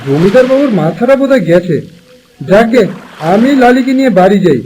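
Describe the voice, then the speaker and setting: A man's voice: extremely high pitch, very animated, brisk, a little mellow, a little hiss or hum, poor audio. A man voicing a humble, earnest young village cowherd in a fairy-tale cartoon. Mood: grumbling.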